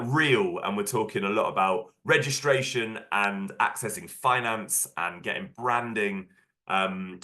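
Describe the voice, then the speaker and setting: A man with a croaky voice from a cold, hosting an online workshop over a video call in a casual way with lots of fillers. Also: mid-range voice, bright, forceful tone. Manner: casual, filler-heavy, upbeat. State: hoarse from a cold. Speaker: workshop host.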